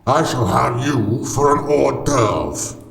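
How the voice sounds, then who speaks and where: Villain, male: menacing, sneering man-eater for audio panto, very slow and pompous, cavernous echo. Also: medium pitch, animated, creaky. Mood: gloating menace. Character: menacing, pompous villain who eats his captives. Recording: echoey, cavernous reverb.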